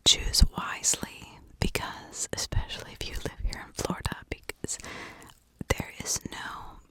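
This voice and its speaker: A woman whispering in an informal ASMR style, telling stories and giving her own opinions in a breathy, conversational way. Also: measured pace, sharp tone. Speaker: ASMR true crime storyteller, channel host.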